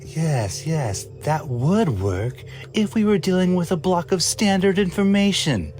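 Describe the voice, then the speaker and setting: A man playing a haughty, callous, excitable scientist in a radio drama, speaking in a pompous, theatrical and disdainful way. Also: a little high, measured pace, faint music behind. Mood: scornful, argumentative.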